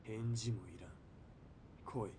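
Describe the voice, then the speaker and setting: An actor playing a sadistic, menacing military police villain in a radio drama, sneering and mocking, from quiet threats to barked orders. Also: male voice, lowish voice, a little slow, a little hiss or hum, lightly acted. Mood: gloating threat. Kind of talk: Japanese radio drama acting.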